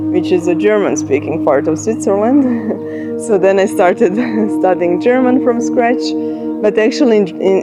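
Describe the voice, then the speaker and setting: A woman in her thirties with a Croatian accent, a software engineer speaking in a documentary interview, thoughtful with pauses and restarts. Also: medium pitch. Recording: music bed under the voice.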